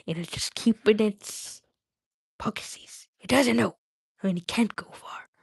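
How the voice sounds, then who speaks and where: A woman's voice: medium pitch, a little slow, creaky, breathy edge, no room sound, full character voice. A woman acts out a wretched storybook cave creature, hissing and whispering to itself. It mutters suspiciously in repetitive baby-talk, and the sound is close and dry. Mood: suspicious, muttering.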